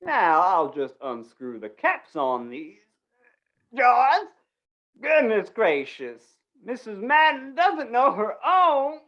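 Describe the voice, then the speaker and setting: A woman's voice: on the high side, big pitch swings, muffled recording. A woman playing a flustered, folksy, dithering small-town announcer in an old-time western radio play. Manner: dithering, halting, folksy, repeats words.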